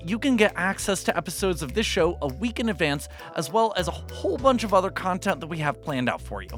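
A man reads an informal podcast outro straight to listeners. His pitch moves around a lot, and faint music plays behind him. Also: fairly bright tone. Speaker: sound designer and podcast credits announcer.

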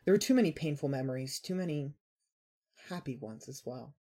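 Husky, mellow woman narrating dramatic fiction. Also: very deep voice, lively intonation. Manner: measured, dramatic storytelling. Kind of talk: scripted fan fiction narration.

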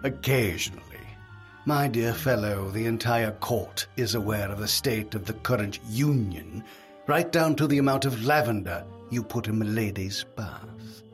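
An actor in an audio drama playing a calm, sly medieval conspirator, measured and dryly sardonic. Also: male voice, fairly low pitch, faint music behind, close to an everyday voice. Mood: wry amusement.

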